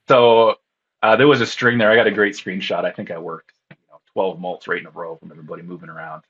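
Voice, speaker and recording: A man's voice: low-pitched, steady tone, a little fast, a bit bright, breathy edge, lo-fi sound. A man being interviewed, talking casually in a tired, rambling way and laughing often. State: groggy from lack of sleep. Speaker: interview guest, contest radio operator.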